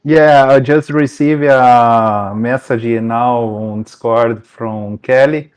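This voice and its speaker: A young Brazilian man with a Brazilian Portuguese accent, racing winner giving a post-race interview over a headset, searching for words in halting English. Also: medium pitch, steady tone, a little mellow, clear, resonant tone. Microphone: headset over voice chat.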